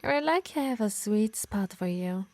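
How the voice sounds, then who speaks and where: A woman's voice: mid-range voice, expressive, moderate pace, a little mellow, no echo, lightly acted. A woman playing a possessive, teasing harpy healer who scolds fondly in an ASMR roleplay. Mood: exasperated, scolding. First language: Italian.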